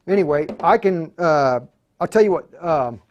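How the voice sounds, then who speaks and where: A man with a slightly high voice hosts a live hands-on tutorial. He talks in an unscripted, halting way, often muttering to himself as he works through each step. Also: lively intonation, muffled recording. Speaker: host of a live radio tutorial.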